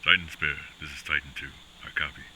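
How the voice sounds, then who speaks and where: A man's voice: very deep voice, fairly even intonation, quick pace, very noisy. A man acting in an audio drama as a terse military field operative, speaking in clipped phrases. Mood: businesslike. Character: terse male field operative on a radio mission. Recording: music under the voice.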